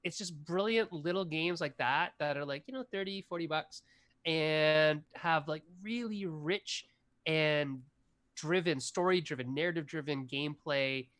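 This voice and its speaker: A man's voice: fairly high pitch, unhurried, fairly bright tone. A man in his forties, a game designer talking enthusiastically as an interview guest, rambling with lots of fillers. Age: 40s. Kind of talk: casual interview chat.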